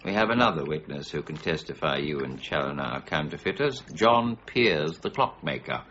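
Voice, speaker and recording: An actor playing a stubborn, exacting middle-aged warden of the Royal Mint, precise and formal in a radio drama. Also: male voice, rumbling low, animated, normal speed, a bit bright. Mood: stern, menacing. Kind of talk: BBC radio drama acting. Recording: muffled radio broadcast with faint noise.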